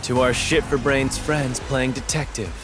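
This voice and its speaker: A man's voice: mid-range voice, a little slow, over music, close to an everyday voice. An actor playing a cruel, mocking male city director in a video game dub, sneering and taunting. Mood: menacing. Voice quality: sneering, taunting, swings between calm and shouting.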